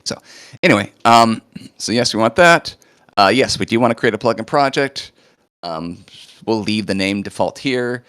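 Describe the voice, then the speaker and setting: Man, lowish voice, slightly hoarse, chatty live demo, dry close sound. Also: lively intonation, moderate pace, fairly bright tone, no echo. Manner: casual, chatty, lots of fillers. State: a bit hoarse, recovering from illness. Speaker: presenter of a live coding demo. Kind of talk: live screen-share walkthrough.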